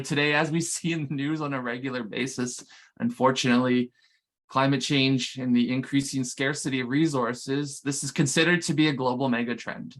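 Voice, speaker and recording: A man's voice: medium pitch, fairly bright tone. A man giving a conversational conference presentation, with frequent restarts and fillers. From Canada. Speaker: conference speaker.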